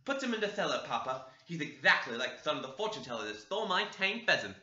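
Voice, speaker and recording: Guy putting on a lisping spoiled little girl, high and bright, echoey lo-fi. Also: sing-song, quick pace, full character voice. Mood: disgusted. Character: spoiled lisping eleven-year-old girl. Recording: echoey, dull lo-fi recording.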